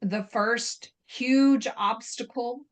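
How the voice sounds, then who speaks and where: A woman sharing reflective thoughts in a group discussion, speaking in a measured way. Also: fairly high pitch, steady tone.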